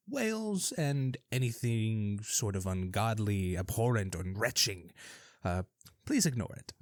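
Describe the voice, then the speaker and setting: A man with a lowish voice hosts a scripted fantasy radio broadcast. He talks in a wry, theatrical manner, full of knowing asides. The sound is close and dry, with no room around it. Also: a little mellow, no room sound. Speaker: radio show host.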